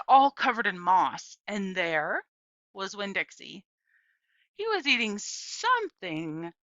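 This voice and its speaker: Expressive, animated woman reading a story. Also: mid-range voice, dry recording, highs cut off. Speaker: audiobook reader. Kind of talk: expressive children's story narration.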